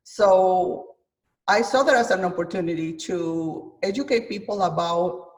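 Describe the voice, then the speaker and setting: Woman from Guatemala, interview guest; halting, filler-heavy delivery, a bit off-mic on a video call. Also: on the low side, distant mic, low-quality recording. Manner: hesitant, many fillers, thoughtful. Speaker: chef and author guest. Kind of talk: interview conversation.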